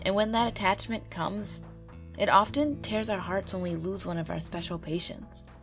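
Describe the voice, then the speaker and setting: A woman with a stuffy nose from a cold, gently and earnestly reading true ghost stories aloud as the narrator of her channel. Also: mid-range voice. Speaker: YouTube ghost story narrator. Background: faint background music.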